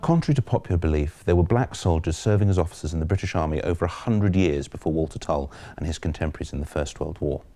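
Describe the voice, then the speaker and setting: A man's voice: very deep voice, fast, few pauses, dark tone. A man explaining history in an interview, knowledgeable and slightly hesitant. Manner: knowledgeable, explanatory, occasional fillers. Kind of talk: documentary interview. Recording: music under the interview.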